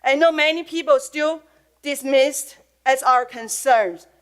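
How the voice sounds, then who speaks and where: A woman's voice: high-pitched, unhurried, fairly bright tone. A middle-aged woman with a Chinese accent, giving an earnest, emotional prepared comment at a public school board meeting.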